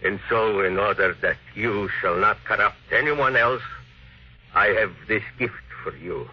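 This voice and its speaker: Solemn, resentful foreign engineer, 1950s radio drama. Low, rough-edged voice, formal delivery, muffled vintage recording. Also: male voice, measured pace. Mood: coldly accusing. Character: grave, resentful foreign electronics engineer bent on justice.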